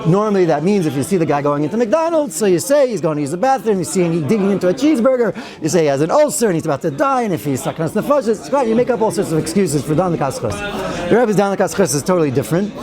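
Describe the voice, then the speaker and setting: Higher-voiced man teaching a chatty Torah class. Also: sing-song, quick pace, crowd noise. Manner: chatty and digressive, with frequent restarts and Hebrew and Yiddish terms; wry asides. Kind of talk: informal Torah lecture. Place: synagogue study hall with a group of listeners.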